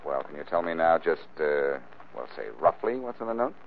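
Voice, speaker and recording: An old, narrow-band radio recording with faint noise carries a sardonic sleuth. He is cool and wisecracking, with a lowish voice that swings widely as he needles and explains, in 1940s drama style. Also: expressive, brisk. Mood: probing, wry.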